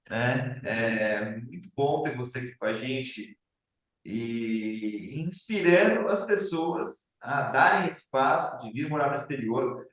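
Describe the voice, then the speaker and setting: A man's voice: medium pitch, fairly bright tone. A man with a Brazilian Portuguese accent hosting a casual interview in a very echoey room. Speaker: podcast host.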